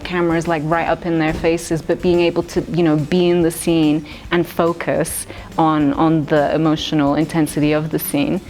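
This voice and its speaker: A young woman director speaking in a thoughtful, measured way, with plenty of fillers, in a festival interview. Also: lowish voice, a little mellow. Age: young adult. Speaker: writer and director.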